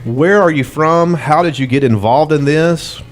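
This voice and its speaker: A man's voice: fairly high pitch, unhurried, soft background music. Guy from alabama hosting a small in-house show, jokey, pokes fun at his own setup. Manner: joking, self-deprecating. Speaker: host. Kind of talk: casual chat.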